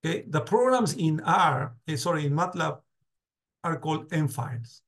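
A man's voice: on the high side, lively intonation, normal speed, a bit bright. A man lecturing a class on a video call, restarting words and often checking "got it?". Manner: many restarts, asks "got it?" and "do you agree?" often. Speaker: university lecturer.

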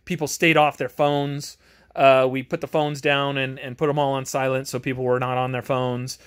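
A man's voice: medium pitch, level tone, moderate pace, clear, resonant tone. A man giving a casual, rambling game review to camera in an earnest, conversational, enthusiastic manner, recorded in his home garage. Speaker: amateur tabletop game reviewer and host.

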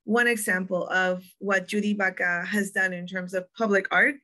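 A woman's voice: medium pitch, steady tone, moderate pace, pushed and bright. A young woman from Puerto Rico with a Puerto Rican accent, presenting a virtual museum tour in a chatty way, with frequent ums and restarts. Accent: Puerto Rican Spanish.

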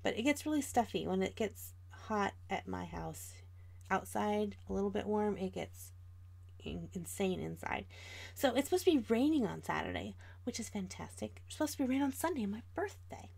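A woman's voice: mid-range voice, lively intonation, moderate pace, creaky, a little mellow. An American woman of about forty chatting casually and informally to viewers as the host of an art livestream. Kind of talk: casual livestream chat while drawing.

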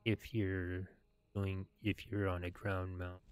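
Low-pitched man reading slowly and haltingly. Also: level tone, clear, resonant tone, faint music behind. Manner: halting, deliberate read-aloud delivery. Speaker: video game streamer reading quests aloud. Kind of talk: reading quest text aloud with plain commentary.

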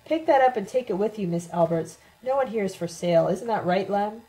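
A woman voicing a drunk, bitter older woman in an audiobook, taunting and loudly accusing. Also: fairly low pitch, fairly quick, close to an everyday voice, low-quality recording. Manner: shouting, sneering, accusatory.

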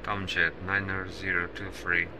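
Low-voiced man gaming as air traffic controller. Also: flat delivery, normal speed. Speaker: streamer playing an air traffic control simulator. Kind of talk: gaming stream commentary with controller radio phraseology.

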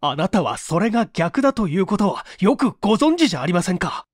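A man's voice: sits high, big pitch swings, a little fast, dry recording, studio quality, voice pushed up. A man playing a male secretary tormented by long-suppressed love, speaking with bitter, dramatic anguish. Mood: anguished disbelief.

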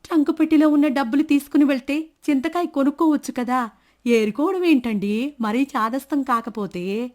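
Woman acting a high-voiced, exasperated village wife. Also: moderate pace, darkish tone, natural voice. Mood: scolding. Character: exasperated complaining wife of a miser. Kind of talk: dramatised moral story.

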